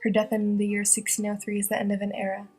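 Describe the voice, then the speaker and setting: A woman's voice: mid-range voice, monotone, quick pace, darkish tone, breathy edge, faint music behind. A young woman reading a scripted newsreel report as a student playing a news reporter.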